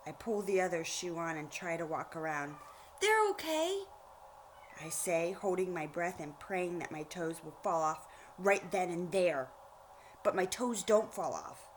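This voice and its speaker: A woman reading a storybook aloud like a teacher, pausing to explain things directly to young listeners. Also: fairly low pitch, a little hiss or hum. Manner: explanatory, addresses children directly.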